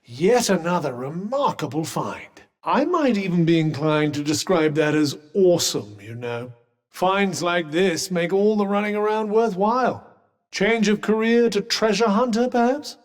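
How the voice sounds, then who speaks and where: A British actor voicing a witty, haughty magical cuff in a video game, speaking in a dry, wordy, formal way. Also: male voice, high-pitched, lively intonation, a little slow, in a large hall. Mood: dry, sardonic.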